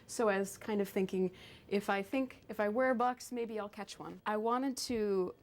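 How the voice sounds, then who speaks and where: A woman's voice: mid-range voice, moderate pace. A young adult woman, a costume design intern, explaining her work in an informal, slightly hesitant way.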